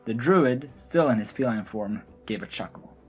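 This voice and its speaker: A woman narrates a scripted fantasy tale. Her voice is very low and husky, moving expressively up and down as she tells the story. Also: expressive, moderate pace, darkish tone, faint music behind.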